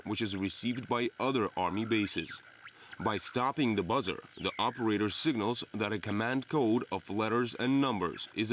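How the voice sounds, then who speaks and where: A man's voice: fairly low pitch, slight background noise. A man narrating a scripted television news report as the reporter. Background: music bed.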